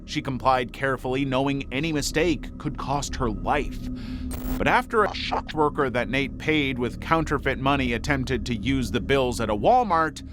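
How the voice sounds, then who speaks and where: A man's voice: fairly high pitch, noisy background. A man reading scripted documentary narration in a dramatic storytelling manner. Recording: voice over prominent background music. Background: music.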